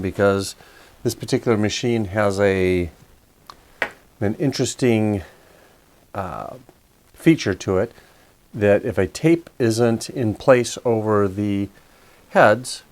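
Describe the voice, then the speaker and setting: A man's voice: on the low side, darkish tone. A man giving a casual hands-on repair walkthrough, explaining things methodically, step by step. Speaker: repair video host.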